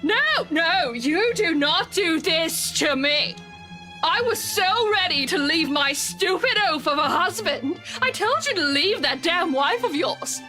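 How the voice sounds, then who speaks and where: Venomous drunk woman, piercingly high. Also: expressive, bright, forceful tone, faint music behind, heavily acted. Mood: furious. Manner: slow, taunting, venomous. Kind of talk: video game drama acting.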